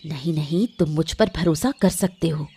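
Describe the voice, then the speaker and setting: A woman voicing an emotional, calculating village wife in a Hindi cartoon moral story, with earnest, deliberate dramatic delivery. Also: deep voice, mellow, soft background music. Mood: scheming.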